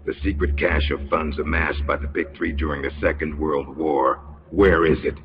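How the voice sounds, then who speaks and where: An actor playing a menacing military interrogator in a video game, smugly polite and sadistic, with a gravelly, slow, deliberate delivery. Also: male voice, extremely low pitch, fairly even intonation. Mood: probing, menacing. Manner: slow, deliberate, mock-courteous. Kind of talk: dramatic video game acting. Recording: dull lo-fi game audio, loud music.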